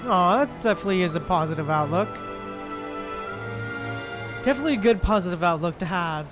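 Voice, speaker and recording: A man's voice: sits high, lively intonation, fairly quick. A man streaming a video game with casual, easygoing live commentary over game music.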